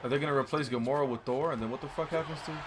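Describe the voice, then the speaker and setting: A young man in casual gaming chat, slangy and excitable, swearing freely. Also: mid-range voice, very fast, crowd noise. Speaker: gamer reviewing a film with a friend. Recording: noisy, clicks and game sounds. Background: basketball game sounds, clicks.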